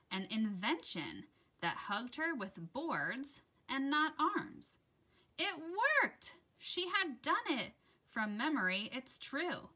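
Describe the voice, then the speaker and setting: Bright, animated woman reading a children's story. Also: sits high. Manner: dramatic, deliberate storytelling delivery addressed to children. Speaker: children's story time host and reader. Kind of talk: expressive read-aloud of a rhyming children's picture book.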